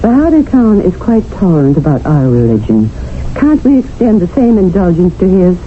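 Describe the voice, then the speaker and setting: A woman's voice: fairly low pitch, moderate pace. A teasing, worldly Englishwoman. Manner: teasing and light, with lively swings of tone. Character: playful, worldly Englishwoman in colonial India. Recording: tinny 1970s radio broadcast with faint noise.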